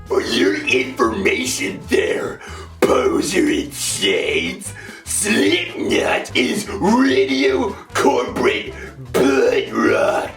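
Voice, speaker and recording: A sneering metal snob, super drawn out and echoey, wild pitch swings. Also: male voice, huge pitch swings, vocal fry, fairly bright tone, soft background music, exaggerated voice. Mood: pompous scorn. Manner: slow, over-dramatic. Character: pompous sneering metal elitist commenter. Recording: roomy, distant voice.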